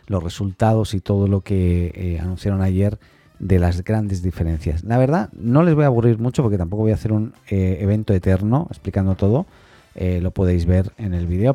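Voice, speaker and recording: A man hosting a tech news podcast alone, talking informally and haltingly as he thinks aloud, often adding little questioning tags. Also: on the low side, warm tone, dry recording.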